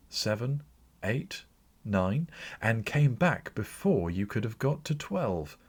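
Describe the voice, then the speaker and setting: A man narrating classic fiction for an audiobook in an expressive, theatrical storytelling manner. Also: fairly low pitch, slightly rough.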